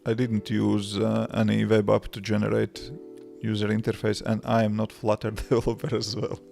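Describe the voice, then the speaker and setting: A man with a Latvian accent talks through viewer questions on a live stream. His low voice is very gravelly and flat, and he speaks in simple English with frequent restarts. Faint music plays quietly behind him. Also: moderate pace. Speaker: livestreaming developer answering chat. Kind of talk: casual live-stream chat.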